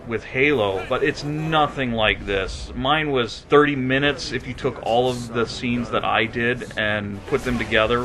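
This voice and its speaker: A man's voice: mid-range voice, pushed and bright. A man hosting a video review show, giving conversational commentary in an earnest, emphatic and enthusiastic manner. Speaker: host of game movie review show.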